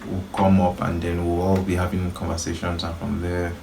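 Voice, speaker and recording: A man's voice: sits low, monotone, brisk, vocal fry, mellow. A young man chatting casually with his sister, talking in a hesitant, thoughtful way and calling himself shy. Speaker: guest, the host's brother. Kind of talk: casual chat. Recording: roomy echo, faint background noise.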